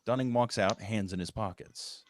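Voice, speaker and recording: A man's voice: fairly low pitch, normal speed, no echo. A man narrating stage directions at a screenplay table read, in scripted narration.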